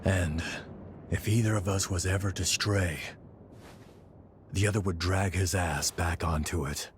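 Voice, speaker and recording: An actor playing a gruff, loyal warrior in a video game, brooding and blunt, with casual wording and firm resolve. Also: male voice, low voice, steady tone, a little slow, noisy background. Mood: rueful, determined. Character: gruff, loyal male warrior determined to rescue his friend. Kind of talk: video game cutscene acting.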